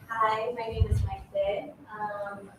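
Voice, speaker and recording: A young woman with a New Mexican accent, an intern giving halting remarks to a town board, full of fillers. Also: mid-range voice, level tone, noisy background. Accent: New Mexican English. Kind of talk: nervous public remarks. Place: town meeting hall. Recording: distant echoey meeting-room mic.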